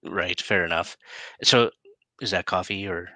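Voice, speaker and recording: A middle-aged Canadian man hosts a casual interview. His voice is fairly low but clearly bright and forward. It is recorded dry on a headset, with little room sound. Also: measured pace, no echo. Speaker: interview host. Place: small room indoors, video call.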